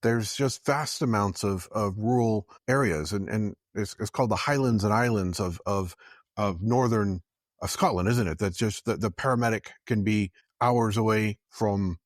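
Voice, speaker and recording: A man's voice: lowish voice, moderate pace, no echo, studio quality. A man hosting a podcast, asking long questions and repeating words as he thinks aloud. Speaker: podcast host. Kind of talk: podcast interviewing.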